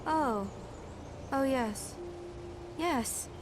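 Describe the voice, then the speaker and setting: A woman voicing a dazed, adoring young waitress in a video game, halting and dreamily eerie. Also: sits high, noisy background. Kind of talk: dramatic video game acting.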